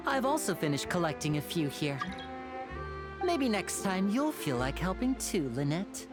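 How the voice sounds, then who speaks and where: A man voicing a youthful stage magician in a video game, polite, smooth and self-assured, with music behind him. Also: high voice, very animated, a little slow. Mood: courteous. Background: prominent music.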